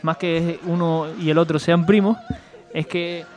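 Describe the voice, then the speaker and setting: A man's voice: fairly high pitch, steady tone, a little slow, darkish tone, lo-fi sound. A man presenting a radio show, casually retelling things in a conversational storytelling way and voicing quotes as he goes.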